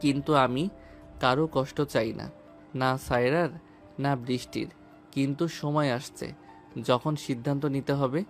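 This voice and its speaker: A man playing a troubled, conflicted young lover with a brooding, halting delivery in an audio romance drama. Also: mid-range voice, fairly even intonation, soft background music, lightly acted. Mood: torn, resigned.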